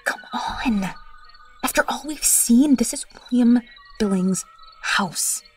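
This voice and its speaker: A woman's voice: on the high side, lively intonation, takes it slowly, darkish tone, husky, distant mic, faint music behind, heavily acted. An actress playing a sassy teenage student who teases her friends in a dry way. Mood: teasing. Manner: dry, teasing. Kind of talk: audio drama acting.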